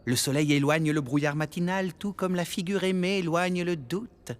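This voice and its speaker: A man playing a theatrical, teasing, poetic mentor with a flowery manner in a French animated drama dub. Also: on the high side, moderate pace, natural voice. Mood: gently theatrical.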